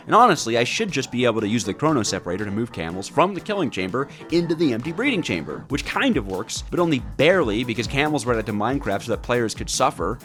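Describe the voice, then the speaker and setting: A man's voice: medium pitch, a bit bright. A man delivering scripted video game narration in a theatrical, wryly exasperated way. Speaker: video game player narrating his own playthrough. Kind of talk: scripted gameplay narration with dramatized reactions. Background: background music.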